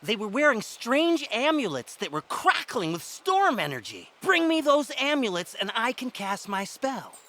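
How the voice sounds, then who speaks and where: An actor playing a self-assured, condescending wizard scholar in a fantasy game, with dramatic delivery. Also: male voice, very high-pitched, wildly swooping pitch, normal speed, hardly any pauses, fairly bright tone, slight background noise, full character voice. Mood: confident, intent. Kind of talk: fantasy game character dialogue.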